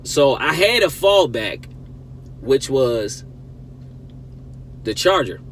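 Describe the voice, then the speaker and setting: A man's voice: mid-range voice, big pitch swings, slow pace. A man casually telling a story as a car vlogger, conversational and emphatic, breaking his talk into short phrases. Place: inside a car while driving. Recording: loud road noise inside a car. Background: car and road noise.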